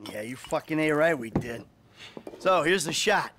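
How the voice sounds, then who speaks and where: An actor voicing a wry, weary middle-aged ex-robber in a video game. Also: male voice, on the high side, expressive, normal speed, background noise, close to an everyday voice. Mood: relaxed. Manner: laid-back, wry, defensive. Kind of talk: video game cutscene acting.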